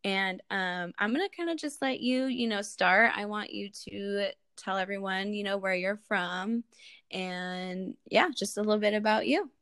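A woman's voice: medium pitch, measured pace, fairly bright tone, clear, resonant tone, no room sound. Casual woman host, close dry sound. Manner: informal, supportive, peppered with "like" and "you know". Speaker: podcast host. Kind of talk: casual chat.

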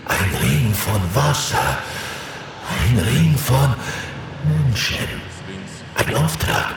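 A man playing a mysterious seer who recites prophecies solemnly and cryptically in halting phrases, in a fantasy audio drama. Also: medium pitch, very animated, heavy reverb, distant mic, noisy background. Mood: solemn, foreboding.

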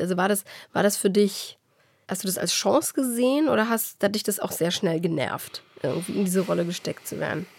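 A woman with a mid-pitched voice conducts an interview in an informal, conversational style. She builds long questions and rewords them as she goes, recorded dry with no room sound. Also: gravelly, darkish tone, breathy edge, no room sound.

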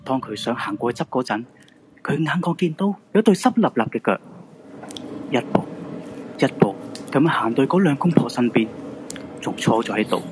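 A man's voice: mid-range voice, lively intonation, moderate pace, long pauses, noisy background. A man with a Hong Kong Cantonese accent narrating a scripted ghost-story radio drama. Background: background music.

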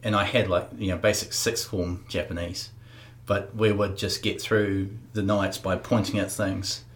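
A man's voice: sits low, level tone, measured pace. A man hosting an interview, chatting in a laid-back, casual way with everyday slang. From New Zealand. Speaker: podcast host.

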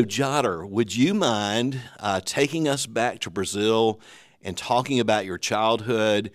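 A man's voice: low voice, dry recording, studio quality. A man with a Southern US accent hosting a church podcast, speaking earnestly with frequent fillers. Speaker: podcast host.

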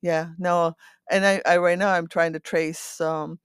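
A woman in her eighties from the Netherlands, reminiscing in a halting, rambling way as an interview guest, often repeating words and checking for agreement. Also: lowish voice, level tone, clean tone, no echo, studio quality. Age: 80s. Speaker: interview guest telling her life story. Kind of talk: reminiscing, conversational storytelling.

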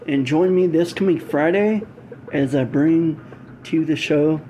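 Slow, mellow male podcast host. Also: fairly high pitch, slight background noise. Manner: halting, pausing mid-phrase. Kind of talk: scripted show outro.

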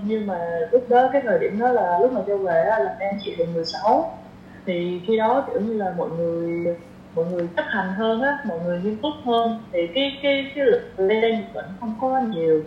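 A woman in her twenties with a southern Vietnamese accent, chatting casually as a podcast guest, hesitant and thinking aloud. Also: mid-range voice. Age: 20s. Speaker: podcast guest, young doctor. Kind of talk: casual chat. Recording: roomy echo, dull sound, light noise.

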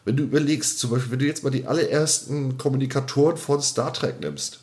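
Male podcast co-host, casual chat, halting and roundabout with repeated phrases. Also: medium pitch, a little mellow. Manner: hesitant, roundabout, repeats himself. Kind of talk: casual sci-fi fan chat.

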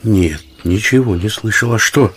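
An actor playing a warm-hearted old friar in a radio play, with an imploring, expressive delivery. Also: male voice, lowish voice, normal speed, slightly rough. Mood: wistful, secretive.

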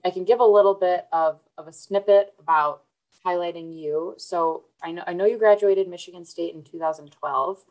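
A woman's voice: fairly low pitch, moderate pace, a little mellow, low-quality recording. A woman hosting an interview podcast, reading a scripted intro and then asking questions in an upbeat, encouraging way. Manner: upbeat, encouraging, frequent fillers and restarts. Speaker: podcast host.

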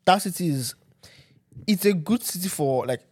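A man chatting casually, halting and repeating words as he searches for what to say. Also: sits high, animated, husky. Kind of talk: casual chat.

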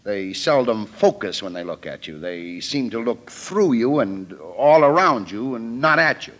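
An actor playing an earnest, defensive photographer questioned as a witness, hesitant, in 1940s radio drama style. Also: male voice, medium pitch, animated, measured pace, a bit bright. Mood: slow recollection.

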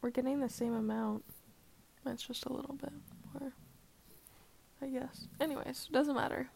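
A woman's voice: sits high, steady tone, moderate pace, vocal fry, a little mellow, a little hiss or hum, poor audio. A woman co-hosting a casual family podcast chat, hesitating often with "um" and sitting close to a shared mic. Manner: hesitant, lots of "um" fillers. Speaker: podcast co-host. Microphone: shared mic, close to her.

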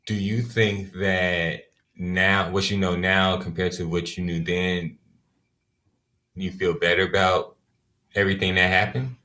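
A man co-hosting a podcast, speaking in a measured, reflective way and offering advice. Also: deep voice, little pitch movement, bright, forceful tone. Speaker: podcast co-host. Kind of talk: casual reflective chat.

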